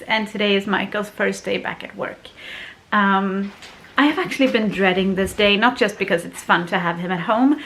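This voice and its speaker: A woman's voice: medium pitch, a bit bright, a little hiss or hum, rough recording. A woman in her thirties from Sweden, chatting casually to a handheld camera at home and laughing often between her words. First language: Swedish. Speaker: family vlogger. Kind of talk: casual vlog chat. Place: family home, indoors. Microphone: handheld camera at arm's length.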